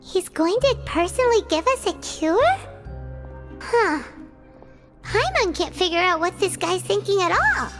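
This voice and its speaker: A woman voicing a tiny, chatty, wary childlike fairy companion in a squeaky voice for a video game. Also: sing-song, a little slow, exaggerated voice. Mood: curious, puzzled. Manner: speaks of self in third person. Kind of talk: English video game voice acting. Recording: loud music under the voice.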